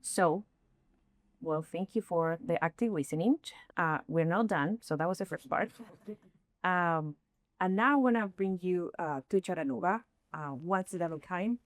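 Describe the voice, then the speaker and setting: A woman from Chile with a Chilean Spanish accent, leading a workshop session and often pausing to search for words. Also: fairly low pitch, no room sound. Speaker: workshop presenter.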